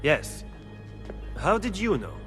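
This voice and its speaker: A man's voice: high voice, big pitch swings, slow pace, music in the background, exaggerated voice. A man acting a shrewd, formal Renaissance political adviser in a video game. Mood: surprised.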